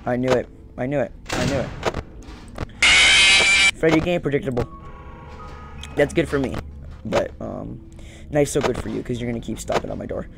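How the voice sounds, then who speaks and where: Young male gamer, gravelly mid-pitched voice, casual muttered commentary to game characters, loud music underneath. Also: normal speed, long pauses. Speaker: gaming streamer.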